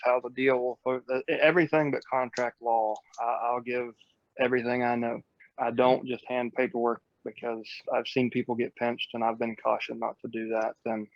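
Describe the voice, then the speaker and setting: A man with a noticeably creaky, gravelly voice talks like a coach on a casual group call. He is earnest and colloquial, and the audio is close and dry but slightly dull. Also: medium pitch, dry recording. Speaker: host and real estate coach.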